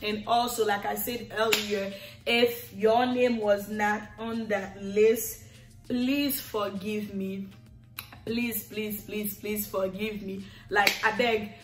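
Haitian-accented woman, affectionate sing-song vlogger, slow unhurried delivery, echoey living room, faint music underneath. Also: mid-range voice, steady tone. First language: Haitian Creole. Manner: affectionate, sing-song, lingering on repeated words. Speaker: YouTuber. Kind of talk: thanking subscribers on camera. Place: living room with red leather sofa, indoors. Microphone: camera in front, seated.

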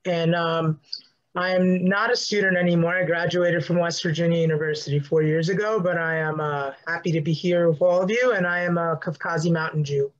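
A young man with a fairly high voice speaks earnestly over a video call, pausing now and then with small fillers. His audio is slightly muffled and dull. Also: steady tone. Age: 20s. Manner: earnest, measured with filler pauses. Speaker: student panelist. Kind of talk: online panel discussion.

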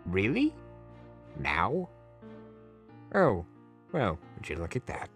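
A man's voice: on the low side, wildly swooping pitch, slow pace, fairly bright tone, soft background music, exaggerated voice. A man voicing a wry, drawling male chaos creature and husband in a fan-made cartoon radio play.

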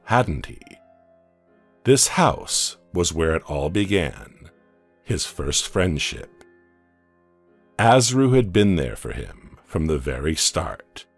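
Slow, deep-voiced male storyteller. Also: breathy edge, dry recording. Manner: slow, dramatic storytelling with hushed drops. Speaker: narrator. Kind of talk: scripted audiobook narration.

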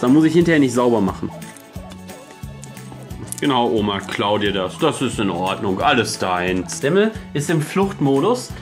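Playful young man, lively voice. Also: on the high side. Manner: playful, talks baby talk to pets. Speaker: channel host. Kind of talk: casual unboxing vlog. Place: living room. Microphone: action camera at arm's length.

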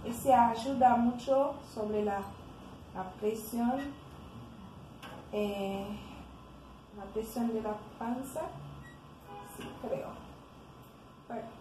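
Young Haitian woman, home fitness vlogger; high, very slow, winded delivery; echoey room. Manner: slow, breathy puffing between exercise cues. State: tired from exercising. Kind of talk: casual home workout instruction. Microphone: camera on the floor nearby. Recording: echoey room with background noise.

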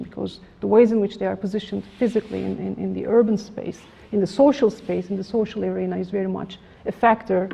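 Middle-aged woman academic lecturer, gravelly low-key tone, measured delivery, dull lo-fi room sound. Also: dark tone. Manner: measured, formal, frequent restarts and fillers. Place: lecture room with projector screen. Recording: slightly dull lo-fi room recording.

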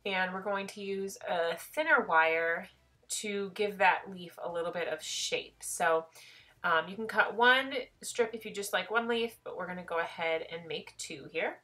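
A woman's voice: on the low side, measured pace, sharp tone. A woman with a head cold explaining a craft project step by step in a chatty, self-deprecating tutorial voice.

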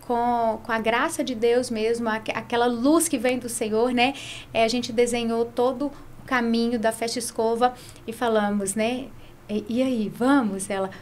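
A middle-aged Brazilian woman with a fairly high voice tells her story as an interview guest. She talks conversationally, sprinkling in little tag questions. There is noticeable noise behind her. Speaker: guest, franchise founder. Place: small curtained podcast studio. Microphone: close podcast mic on boom arm.

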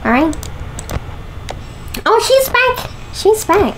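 Young woman talking slow and teasing while she plays a horror game, noisy headset mic. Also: very high-pitched, big pitch swings. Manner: playful, talks to viewers and taunts the game characters. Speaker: gaming video host. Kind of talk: horror game let's play commentary.

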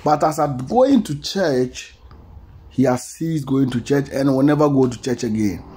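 A man giving informal news commentary to introduce an interview, in emphatic, repetitive, halting phrases. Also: fairly high pitch, dark tone, slightly rough.

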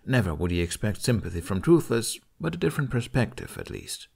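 A man narrates a fiction audiobook in a fairly low voice. He reads the scripted prose expressively, as a storyteller. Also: sing-song, fairly quick, creaky, darkish tone, husky.

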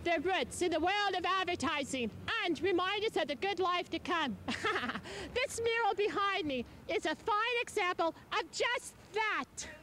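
A woman's voice: very high-pitched, animated, a little slow, few pauses, bright, forceful tone, full character voice. A young woman playing a ditzy, flamboyant TV street reporter, chirpy and giggly, speaking into a handheld mic outdoors in a city. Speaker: TV reporter character. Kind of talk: amateur comedy sketch. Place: outdoors in a city, parking lots and murals. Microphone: handheld mic on a distant camcorder. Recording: noisy 1980s camcorder tape.

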